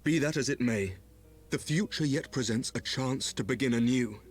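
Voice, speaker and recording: An actor playing a noble, earnest leader in a fantasy video game, speaking formally and eloquently. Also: male voice, medium pitch, normal speed, natural voice. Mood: earnest, penitent.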